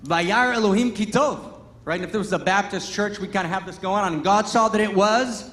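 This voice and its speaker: A man's voice: high voice, fairly quick, a bit bright. A man whose first language is Hebrew and who grew up in Massachusetts gives a Sunday sermon as a guest teacher. He tells it as a loose personal story, full of "you know" and "right?", and builds to emphatic passages. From Pittsfield, Massachusetts, US. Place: large church hall. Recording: echoey church hall with faint ambience.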